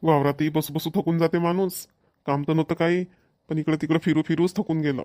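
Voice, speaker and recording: Affectionate older farmer grandfather, played by a man, brisk pace, slightly high voice, rural Maharashtrian accent, cartoon. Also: flat delivery, a little mellow, no echo, clean studio sound, natural voice. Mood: affectionate, weary. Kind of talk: cartoon family dialogue.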